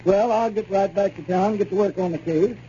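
An actor playing a polite, crafty city lawyer who asks leading questions in a hesitant way, in a 1930s radio comedy. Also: male voice, sits high, fairly quick, warm tone, close to an everyday voice. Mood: brisk, reassuring. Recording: muffled, hissy 1930s radio recording.

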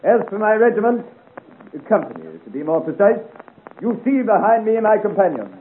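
An actor playing a flamboyant rogue cavalry officer in a 1950s radio serial, florid and mockingly courteous. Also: male voice, high voice, sing-song, normal speed, phone-quality sound. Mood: mock-polite, swaggering. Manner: florid, pompous, grandly ironic. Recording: scratchy 1950 radio recording, thin band.

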